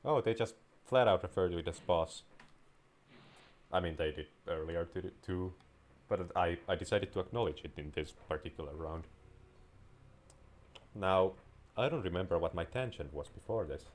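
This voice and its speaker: A man's voice: deep voice, normal speed, pauses often. A man whose first language is Finnish, rambling casually while commenting on a game he is playing, drifting into tangents. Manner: rambling, wanders into tangents and restarts sentences. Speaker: gameplay commentator. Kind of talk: casual let's-play commentary.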